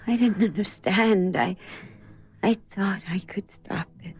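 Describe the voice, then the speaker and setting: A woman's voice: mid-range voice, measured pace, lo-fi sound, close to an everyday voice. An actress playing a horrified, remorseful woman in a radio horror drama, speaking with dramatic weight. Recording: band-limited radio drama, faint music.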